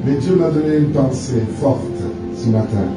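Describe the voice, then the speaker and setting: A man from France preaching a sermon in short phrases, pausing for an interpreter. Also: medium pitch, loud music behind the voice. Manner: pauses in short phrases for translation. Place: large church hall. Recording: echoey church from far off.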